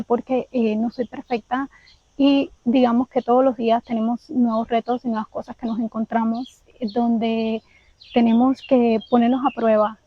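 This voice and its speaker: Cuban woman vlogger, candid and reflective, slightly raised pitch, dry close sound. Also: dry recording, highs cut off. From Cuba. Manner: candid, reflective, speaks directly to viewers. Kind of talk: personal vlog storytelling.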